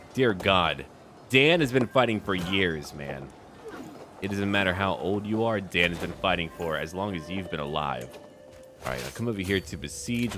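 A man's voice: fairly low pitch, fairly bright tone, slight background noise. A man giving casual game commentary with light role-play, musing aloud in short, clipped remarks. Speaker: gaming YouTuber. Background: background music.

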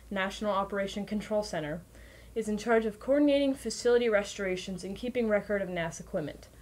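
Woman reading a scripted explainer. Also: mid-range voice. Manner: plain, informational, occasionally stumbling over words. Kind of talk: scripted narration, read aloud.

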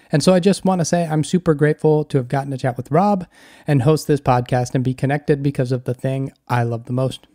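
A man's voice: medium pitch, a little mellow, dry recording. Male podcast host, dry close sound. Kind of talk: podcast intro and interview.

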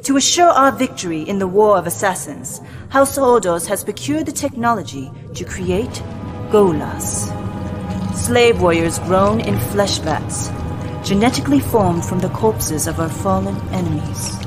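A woman's voice: medium pitch, lively intonation, unhurried, natural voice. A young woman playing a cold, imperious adviser to a ruthless house, speaking in a clipped, formal, commanding way. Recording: music bed behind the voice.

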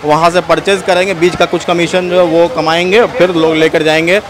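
A young man from Bihar running a casual street interview vlog, curious and chatty, asking quick follow-up questions in a busy fish market. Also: on the high side, little pitch movement, noisy background. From Bihar, India. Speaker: food vlogger. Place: busy outdoor fish market before dawn.

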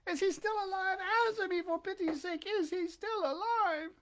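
A woman voicing a childlike wooden puppet boy in a storybook, with a plaintive, excitable, melodramatic manner. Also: very high-pitched, normal speed, voice pushed up. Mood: pleading, anxious. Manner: plaintive, melodramatic, breathy exclamations.

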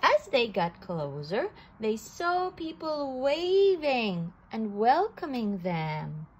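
Woman, storybook read-aloud, slow and expressive, fairly high voice, faint background hiss. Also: rough recording. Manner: slow, animated storytelling with occasional restarts. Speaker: storybook reader.

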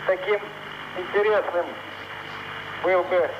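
A man speaks from a space station cabin through a headset, pausing as he searches for his words. His voice sits high and reaches the listener muffled, under a thick hiss of static. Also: steady tone. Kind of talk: live answers from orbit. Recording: muffled noisy space link.

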